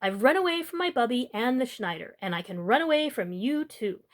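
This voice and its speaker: A woman's voice: on the high side, animated, fairly quick, lightly acted. A woman playing a cheeky, boastful little runaway dumpling boy in a storybook, with a taunting sing-song chant. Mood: taunting, gleeful.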